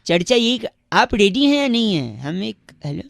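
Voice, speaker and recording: High, bright-voiced teasing male prank caller. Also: sing-song, moderate pace, creaky. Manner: teasing, riddling, keeps interrupting. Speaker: radio prank caller and host. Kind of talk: playful comic prank call banter.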